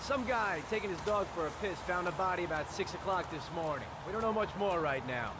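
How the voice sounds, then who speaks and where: An actor playing a brusque, cynical, impatient police lieutenant in a video game. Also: male voice, high-pitched, expressive, a little fast. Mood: cynical, businesslike. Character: gruff, impatient, foul-mouthed male police lieutenant. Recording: loud outdoor machinery and traffic noise.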